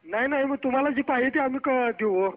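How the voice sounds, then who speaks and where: Very high-pitched man on a tinny phone. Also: fast talker. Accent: Marathi, western Maharashtra. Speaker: prank call recipient. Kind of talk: casual phone chat. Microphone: telephone. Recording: tinny narrow phone line.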